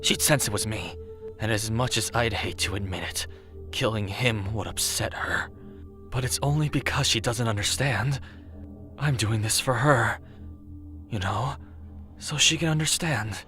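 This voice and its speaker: Low-voiced, brooding death god, played by a man. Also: measured pace, long pauses, pushed and bright, soft background music. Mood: grim, resolute. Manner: terse, dry, earnest. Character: brooding, guarded, serious male death god. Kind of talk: audio drama character acting.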